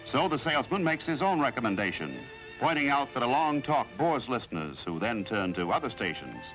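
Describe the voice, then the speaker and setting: Lively 1950s American male documentary narrator. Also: medium pitch, low-quality recording. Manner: formal, measured, explanatory delivery. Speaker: narrator of a training film. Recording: vintage 1950s film soundtrack with music.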